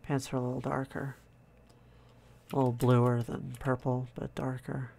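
A man quietly narrating his own drawing process, in a soft-spoken, calm way, thinking out loud. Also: medium pitch, unhurried, vocal fry. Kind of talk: casual relaxed commentary over drawing.

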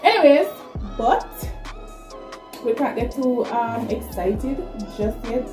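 High-voiced young Jamaican woman, echoey room. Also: animated, slow. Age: 20s. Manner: chatty, conversational, addressing viewers directly. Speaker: vlogger, nursing student. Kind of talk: casual vlog talk to camera. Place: small plain room indoors. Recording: echoey room, music behind. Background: background music.

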